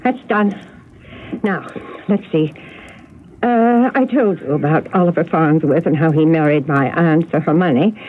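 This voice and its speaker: An actress playing a genteel, agitated older spinster telling family secrets in a radio mystery drama. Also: female voice, low voice, measured pace. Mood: wistful, confiding. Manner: fretful, genteel, dramatic storytelling. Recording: narrow, noisy old radio broadcast recording.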